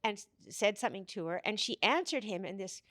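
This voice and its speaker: A woman in her fifties telling an animated story in an interview, quoting other people's voices, recorded on a stand mic in a curtained studio. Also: medium pitch, fairly quick, no echo. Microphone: stand mic close by.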